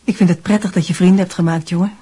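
An actress playing a middle-aged mother in a radio drama, fond but gently reproachful, asking measured, probing questions. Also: fairly low pitch, steady tone, husky.

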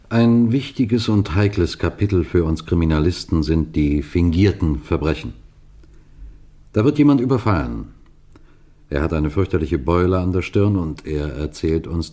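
Deep-voiced, authoritative police detective. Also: male voice, mellow. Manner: measured, deliberate, emphatic storytelling. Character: authoritative male police detective recounting his case. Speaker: narrator and lead actor. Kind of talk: vintage German radio crime drama narration.

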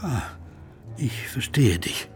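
An actor in a German radio drama playing a passionate, pleading, lovestruck gentleman from Brazil. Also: mid-range voice, very animated, slow, music in the background. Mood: wounded. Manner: impassioned, pleading.

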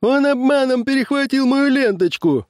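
A man's voice: very high voice, animated, normal speed, darkish tone, dry recording, clean studio sound, pitched above the performer's own voice. A man voicing an aggrieved male farmer who accuses his rival in an audiobook story. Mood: outraged.

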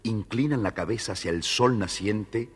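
A man narrating a scripted children's story in a measured, expressive way. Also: on the low side, husky. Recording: reverberant studio story recording. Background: music.

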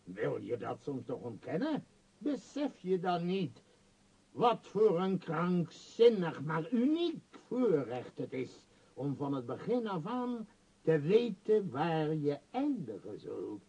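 A man's voice: fairly high pitch, big pitch swings, slow, dark tone, breathy edge, exaggerated voice, poor audio. An actor in a radio play voicing a vain, powerful middle-aged male tycoon with a pompous, emphatic, scornful manner. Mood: arrogant persuasion. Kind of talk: radio drama acting.

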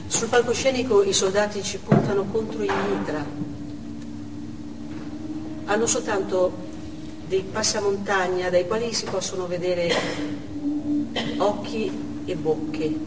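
An actress playing a shaken, wry woman hostage who recalls a siege in a halting, deliberate stage monologue. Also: medium pitch, fairly even intonation, lightly acted. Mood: tense, wry. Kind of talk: dramatic first-person stage monologue. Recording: echoey theatre, distant mic, clear background noise.

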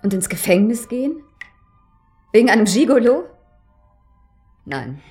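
A woman's voice: fairly high pitch, big pitch swings, measured pace, a little mellow, faint music behind. An actress in a German radio drama playing a bitter avenging woman who confronts a seducer with sarcastic, accusing, pointed questions. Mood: cold, mocking.

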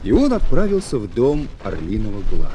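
A man narrating a children's adventure story in an expressive, theatrical way. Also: mid-range voice, brisk, noisy background. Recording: old flexi-disc, music behind.

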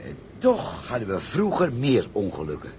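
A man's voice: on the low side, wildly swooping pitch, normal speed, darkish tone. An actor playing a seaman who reminisces about hardship in a period maritime drama. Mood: reminiscing. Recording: faint background noise.

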